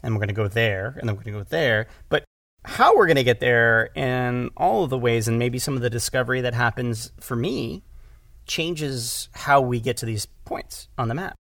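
A man's voice: mid-range voice, normal speed, gravelly, fairly bright tone, dry recording, clean studio sound. A man talking casually as an audio drama creator in an interview, often repeating words and correcting himself as he goes. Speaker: audio drama writer-director, podcast guest.

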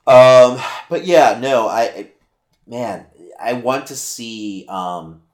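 A man's voice: mid-range voice, slow. A man co-hosting a casual podcast, talking loosely with lots of fillers, the odd swear and easy laughs. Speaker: podcast co-host.

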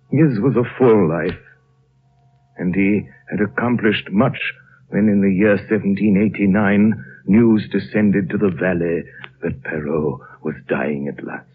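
An actor playing an ancient, serene and mysterious priest in a 1930s radio drama, speaking slowly and solemnly in a hushed, breathy voice. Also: male voice, sits low, slight background noise. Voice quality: husky, breathy. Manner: slow, solemn, hushed storytelling with long pauses. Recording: muffled, narrow-band old 1930s radio recording.

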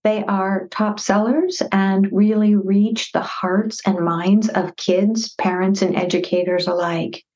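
A woman's voice: mid-range voice, fairly even intonation, takes it slowly, few pauses, darkish tone, lo-fi sound. A woman hosting a podcast, introducing and interviewing guests in an effusive, affirming manner. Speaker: podcast host.

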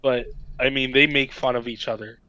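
A man co-hosting a casual podcast, relaxed and profane. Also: medium pitch, moderate pace, no echo, lo-fi sound. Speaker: podcast co-host. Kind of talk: casual chat.

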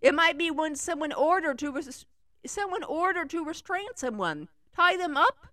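A woman's voice: high voice, expressive, moderate pace, fairly bright tone, no echo, studio quality, pitched above the performer's own voice. A woman doing a clueless teen girl. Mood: unsure. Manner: unsure, questioning.

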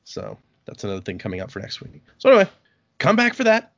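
A man's voice: high voice, wildly swooping pitch, fast, a bit bright, dry recording. A man hosting a podcast in casual chat, rambling and restarting his phrases, with a laugh here and there. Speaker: podcast host. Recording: dull, muffled podcast audio.

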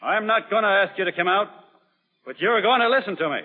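An actor playing a stern, weary, commanding army doctor with a Southern US accent in an old film drama. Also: male voice, a little high, expressive, moderate pace, husky, big-room sound. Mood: fierce, commanding. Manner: forceful, clipped orders. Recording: muffled, narrow-band old film soundtrack.

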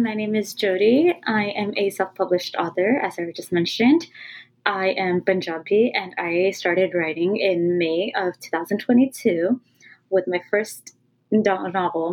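A woman from California, a guest on a podcast, talking candidly and casually. She often stops with ums and restarts her sentences, and her voice sits fairly low. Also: darkish tone, poor audio. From California, USA. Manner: talks openly with many ums and restarts, searching for words. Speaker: guest author. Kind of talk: casual interview talk.

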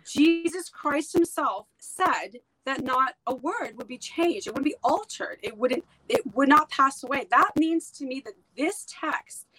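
A woman's voice: high-pitched, animated, unhurried, few pauses, sharp tone, dry recording, rough recording. A woman whose first language is Hebrew, talking bluntly and emphatically as a guest in a casual podcast conversation.

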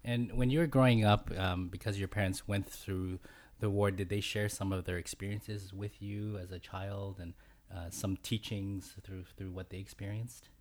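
A man's voice: deep voice, fairly even intonation, moderate pace, darkish tone. A man hosting a podcast, introducing and interviewing a guest with polite, hesitant phrasing full of fillers. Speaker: podcast host.

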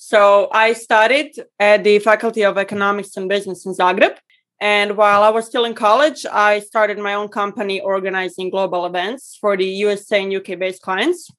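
A woman from Croatia, a guest on a podcast, explains her work in a friendly, steady way. Her voice sits at a middle pitch, and she laughs lightly now and then. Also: brisk. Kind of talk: interview answers.

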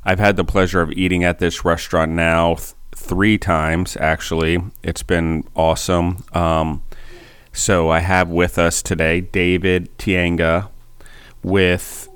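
Brief: male podcast host, low and smooth, flat steady delivery. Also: slow, dry recording. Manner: steady, friendly, scripted-sounding intro. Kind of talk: podcast interviewing.

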